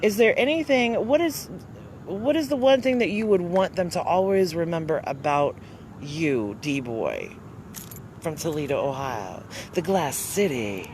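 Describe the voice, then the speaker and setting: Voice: medium pitch, lively intonation, a little slow, a little mellow, a little hiss or hum. An interviewer with a worn, fading voice asking questions in a chatty, casual way outdoors. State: losing voice. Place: outdoors by downtown waterfront.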